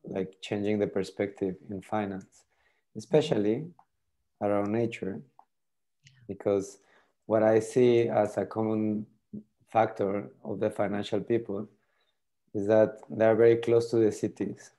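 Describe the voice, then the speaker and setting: Middle-aged French-native man on a video call. Lowish, mellow voice, halting delivery with repeated words. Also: steady tone. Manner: hesitant, repeats words while thinking. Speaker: tool demonstrator. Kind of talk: casual video call demo.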